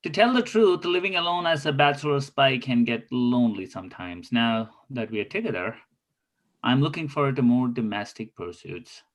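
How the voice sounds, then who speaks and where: A man's voice: medium pitch, lively intonation, normal speed, lightly acted. A man playing an earnest thirty-something spy in a scripted table read, speaking in formal, stilted phrases. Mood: content.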